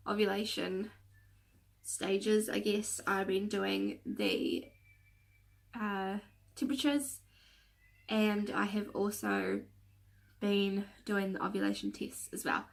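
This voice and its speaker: A woman vlogger talking in a casual, rambling way with many fillers and restarts, her nose a little stuffed from a cold so she sounds slightly nasal. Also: mid-range voice. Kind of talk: casual chatty vlog rant.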